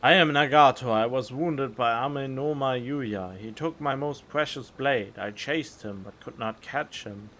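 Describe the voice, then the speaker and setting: A man's voice: mid-range voice, measured pace, hardly any pauses, a bit bright, slight background noise. A man voicing a wounded, dying man full of regret, reading game text aloud in a dramatic, pained way. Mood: weary, pained.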